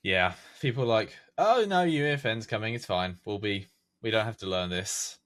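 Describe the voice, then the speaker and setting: Low-voiced young man, rambling chat. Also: expressive, normal speed, fairly bright tone. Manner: rambling, many fillers and restarts. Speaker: podcast guest, game map creator. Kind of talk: casual chat.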